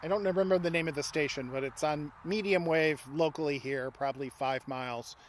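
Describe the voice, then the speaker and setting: A man's voice: a little high, fairly quick, a little hiss or hum. A man talking casually and technically outdoors, as a radio hobby expert helping a friend.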